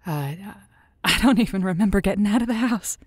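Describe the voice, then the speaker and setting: A woman playing a tender, haunted radio advice host who speaks theatrically with endearments and deliberate pauses. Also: mid-range voice, lively intonation, no echo, clean studio sound, natural voice. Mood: haunted, hesitant. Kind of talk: audio drama as late-night call-in radio.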